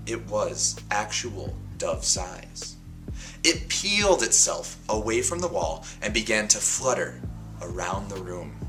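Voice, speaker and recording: A man reading a picture book aloud as a host, in an expressive storybook style with dramatic, deliberate phrasing and emphatic pauses. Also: fairly low pitch.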